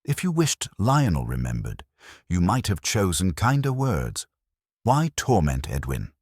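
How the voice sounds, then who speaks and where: A man voicing an exhausted, dignified widow in a mystery story, speaking with a quiet, weary calm. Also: low-pitched, unhurried, husky, no echo, clean studio sound, exaggerated voice. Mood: weary reproach.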